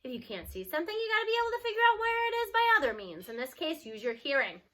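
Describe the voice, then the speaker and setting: Woman playing a feisty, high-pitched bossy grandma. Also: wildly swooping pitch, fairly bright tone, heavily acted. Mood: teasing, bossy. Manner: brisk, playful commands.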